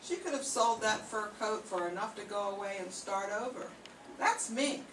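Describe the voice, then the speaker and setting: An older woman playing a gruff, world-weary male police lieutenant with a soft heart, in an amateur 1940s-style radio play. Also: medium pitch, moderate pace, lightly acted. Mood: somber. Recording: echoey room from far off, faint hiss.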